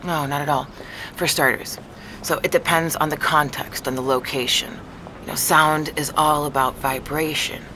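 A female neurologist in an audio drama, speaking in a low voice. She explains in a measured, matter-of-fact, professional way. Clear outdoor noise sits behind her. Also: steady tone, pushed and bright, rough recording. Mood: explanatory. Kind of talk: naturalistic audio drama dialogue.

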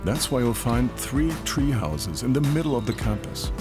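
A man reading scripted documentary narration in a measured, informative way. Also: mid-range voice, sing-song. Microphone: studio voice-over. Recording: narration over clear music. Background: music bed.

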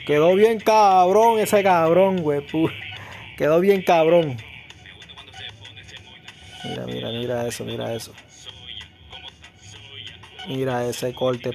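A man, narrating a haircut in his small barbershop in an excited, slangy way, repeating words for hype. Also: mid-range voice, vocal fry. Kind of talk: casual haircut vlog narration.

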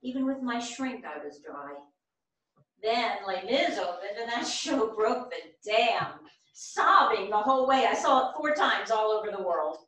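Woman acting a high-voiced dreamy widow, echoey theater. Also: expressive, measured pace, fairly bright tone. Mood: wistful. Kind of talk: staged play reading. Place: small theater stage. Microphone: far camera. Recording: echoey theater, distant camera microphone.

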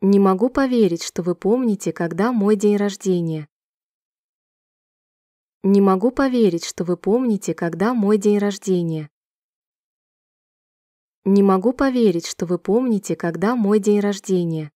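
A woman reading short sentences slowly and clearly for a language-listening drill, repeating each one in a measured, even way. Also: mid-range voice, no room sound, studio quality. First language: Russian. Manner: clear, measured, evenly paced repetition. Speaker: Russian sentence reader.

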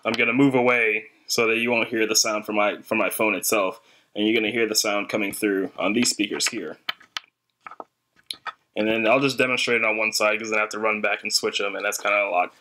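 Young guy with a lowish voice walking through a hobby project, kinda informal and hesitant, bit of noise and clicks behind. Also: fairly even intonation, a little fast, bright, forceful tone. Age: young adult. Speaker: hobbyist electronics demonstrator. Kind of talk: casual tutorial walkthrough. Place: small indoor room at a desk. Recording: faint background noise, clicks.

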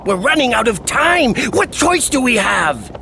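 A man's voice: very high-pitched, very animated, a little slow, pushed and bright, a little hiss or hum, exaggerated voice. A man voicing an impatient, eager little dwarf miner in a fantasy game, exclaiming urgently. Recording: music clearly under the voice.

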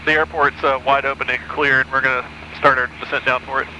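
A man's voice: mid-range voice, level tone, fast talker, pushed and bright, poor audio. A male pilot giving terse instructions and radio calls over a headset in a small plane. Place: small propeller plane cockpit in flight. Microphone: aviation headset mic. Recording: aircraft headset intercom with engine noise.

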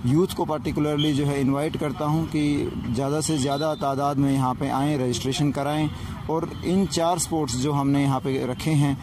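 A man speaking as a police official in a somewhat high voice. He gives a formal, measured media statement with English terms mixed in, recorded outdoors with clear noise around him. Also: steady tone. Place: sports ground outdoors. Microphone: handheld news mic held to masked speaker. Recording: noisy outdoor field recording.

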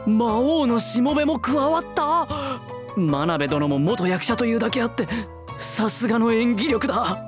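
A man's voice: very high-pitched, sing-song, measured pace, heavily acted. A man playing a kindly, easily flustered young man who talks politely and dramatically in a comedic voice anime. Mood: flustered, dramatic. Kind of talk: Japanese voice-anime comedy acting. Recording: music bed behind the voice.

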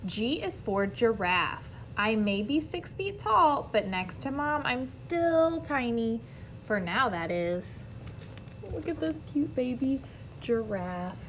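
American lady reading a kids book out loud, kinda high bright voice, expressive, bit of room noise. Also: slow. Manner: expressive, careful reading with friendly asides to young listeners. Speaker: teacher reading aloud. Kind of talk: children's picture book read-aloud. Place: indoor classroom.

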